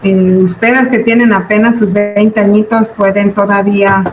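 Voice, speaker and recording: A woman's voice: mid-range voice, level tone, normal speed. A woman in her forties with a Mexican accent, giving an informal lecture to students in a didactic, question-and-answer manner. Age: 40s. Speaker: business budgeting teacher. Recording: roomy echo, background noise.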